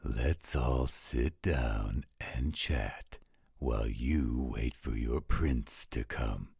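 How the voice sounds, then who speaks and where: An actor playing an overprotective, fatherly werewolf guardian in an audio roleplay, with a gravelly, husky voice and a careful, measured delivery. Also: extremely low pitch, mellow, dry recording, studio quality, full character voice. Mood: earnest, awkward. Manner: slow, measured, careful explaining.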